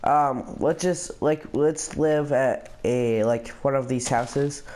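A man's voice: mid-range voice, fairly even intonation, takes it slowly, a little mellow. A young man with a cold and sniffles, hosting a casual video-game session in a laid-back way. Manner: laid-back, filler-heavy. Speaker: channel host. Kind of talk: casual gaming commentary.

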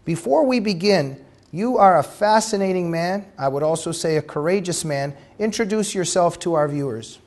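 A man hosting a studio talk show, opening with a formal, measured, scripted introduction of his guest. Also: sits high, expressive, darkish tone. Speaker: show host.

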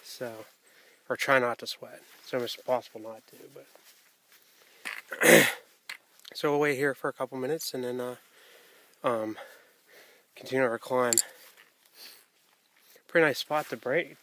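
A middle-aged man filming himself on a snowy mountain hike outdoors, chatting casually into a handheld camera at arm's length, halting with fillers as he pauses on the climb. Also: medium pitch, fairly even intonation, bright, forceful tone, a little hiss or hum. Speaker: hiker filming his hike. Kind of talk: casual vlog narration.

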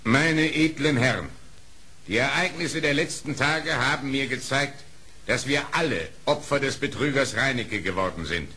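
A man's voice: medium pitch, normal speed, pushed and bright, lightly acted. An actor playing a dignified lion king in an audio play, speaking in a formal, declamatory way. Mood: solemn, gracious. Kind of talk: German audio play acting.

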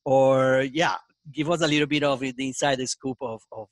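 A man's voice: mid-range voice, fairly even intonation, normal speed, no room sound. A man, hosting a casual video-call interview, rambling and restarting his questions. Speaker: podcast host. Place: home basement room with brick wall. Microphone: headset mic.

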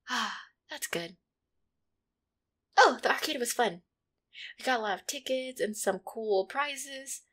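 Woman acting a shy, affectionate catgirl girlfriend. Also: medium pitch. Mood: cheerful, casual. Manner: hesitant, soft-hearted, flustered. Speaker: roleplay audio performer. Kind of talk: intimate one-sided roleplay audio acting.

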